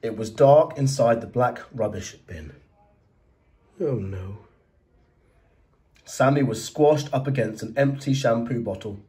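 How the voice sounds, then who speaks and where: A man narrating a children's storybook as a teacher, reading in an expressive, dramatised way. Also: mid-range voice, measured pace, pauses often, recorded from a distance.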